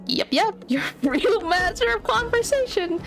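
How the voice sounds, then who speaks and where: A woman's voice: extremely high pitch, lively intonation, normal speed. An actress playing a playful teenage girl who teases with sarcasm. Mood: teasing. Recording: game dialogue over music. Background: game music.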